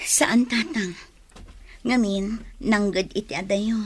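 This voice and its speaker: A woman's voice: medium pitch, slow, breathy edge. An actress playing an anguished, loyal young wife and mother in a radio drama, with a pleading, tearful delivery. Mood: defensive, evasive. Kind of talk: Ilocano radio drama acting.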